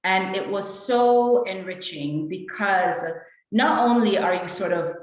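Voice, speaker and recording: A woman's voice: mid-range voice, takes it slowly. A woman from Jamaica, speaking on an admissions panel, gives an earnest, thoughtful answer. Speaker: EMBA student panelist. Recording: very echoey room.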